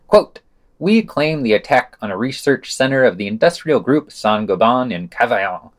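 A man's voice: mid-range voice, very animated, normal speed. A man reading a scripted news roundup as a podcast presenter, adding wry, sardonic asides.

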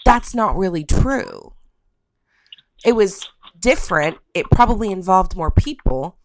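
A woman's voice: mid-range voice, sing-song, unhurried, no echo. A woman hosting a podcast, talking through a topic. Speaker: podcast host.

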